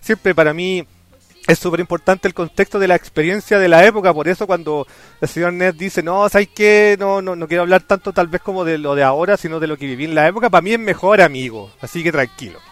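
A man's voice: high voice, quick pace, fairly bright tone, no echo. A man with a Chilean accent hosting a casual anime podcast, playful and showman-like in his announcing. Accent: Chilean Spanish. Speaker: podcast host.

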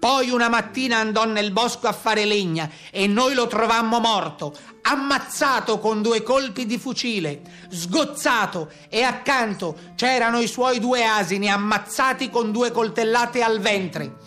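A man's voice: very high-pitched, a little slow, sharp tone, soft background music, full character voice. A man playing an aggrieved carabiniere who gives a formal, report-like account in a theatrical monologue. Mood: grave, frustrated. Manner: formal, orderly report-like telling. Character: male carabiniere recounting a brigand manhunt, formal, aggrieved.

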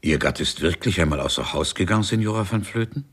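An actor playing a fussy, persistent Italian police investigator in a satirical radio crime drama, asking questions and repeating words. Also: male voice, sits low, animated, fast talker, husky. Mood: inquisitive, fussy. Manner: inquisitive, sprinkles in Italian words.

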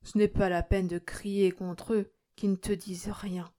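Woman playing a calm, coaxing village priest. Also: mid-range voice, natural voice. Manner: gently admonishing, measured. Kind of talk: audiobook character acting.

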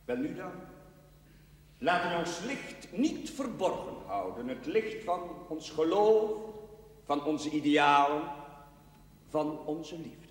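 In a cavernous, long-ringing church, an earnest young chaplain delivering a sermon. His high voice moves slowly and solemnly, drawing out rhetorical phrases with fervour. It is television drama, heard at a distance from the microphone. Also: very animated. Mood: solemn, reverent. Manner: measured, declamatory, fervent, drawn-out phrases. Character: earnest young newly arrived chaplain preaching to his parish.

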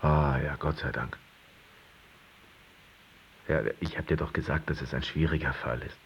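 Extrem tiefer, knarrender, wortkarger Mann. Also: male voice, extremely low pitch, fairly even intonation, measured pace. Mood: laconic. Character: laconic man reporting on a difficult case. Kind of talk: German radio drama acting. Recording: dull, hissy sound.

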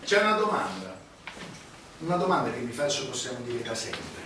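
An actor playing a sinister, sleazy clergyman in a theatrical monologue, insinuating, with rhetorical questions and dramatic pauses. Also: medium pitch, very animated, distant mic, lightly acted. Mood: probing. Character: sinister, sleazy, menacing clergyman preaching about power and corruption. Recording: roomy, echoey stage recording from afar, noisy.

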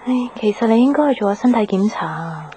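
A woman's voice: medium pitch, expressive, quick pace, warm tone, breathy edge. An actress playing a troubled, dutiful young woman in a Hong Kong Cantonese radio drama, speaking in a subdued, reflective manner. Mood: concerned. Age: young adult. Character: troubled, dutiful young daughter, lovelorn and introspective. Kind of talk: Cantonese radio drama acting with inner monologue.

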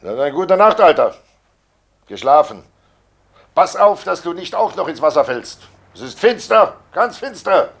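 An actor playing Death as a fat, cynical undertaker with a sardonic, matter-of-fact manner, in a 1940s German radio play. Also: male voice, fairly high pitch, sing-song, measured pace, gravelly, a bit bright, heavily acted. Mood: jovial, callous. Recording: old 1940s radio recording.

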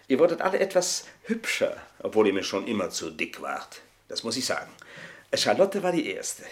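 An older actor playing an embittered, boastful manservant in a German radio play, speaking in a florid, mocking, theatrical way. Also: male voice, on the low side. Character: aging, embittered, boastful manservant taunting his mistresses.

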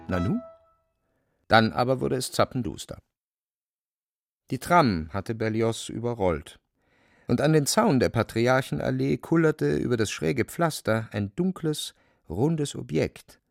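A man narrating a literary radio play as a storyteller, expressive, theatrical and deliberate, with a gravelly voice. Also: mid-range voice, no room sound, studio quality. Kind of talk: dramatic audiobook-style storytelling for radio play.

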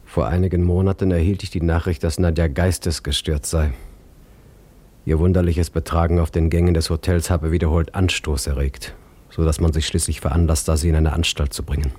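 A formal male narrator with a dark, husky voice. He recounts in a detached, literary first-person manner for a radio drama. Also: sits low, level tone, fairly quick. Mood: detached, sober.

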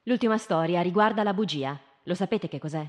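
A woman's voice: fairly low pitch, a little fast, in a large hall. A woman telling short stories and putting questions to children in a live radio theatre piece. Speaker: performer leading a storytelling session with children.

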